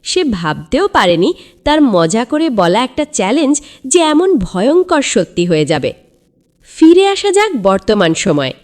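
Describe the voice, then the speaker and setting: A woman's voice: fairly high pitch, big pitch swings, measured pace, a little mellow. A woman narrating a children's story in a theatrical, suspenseful, scripted style.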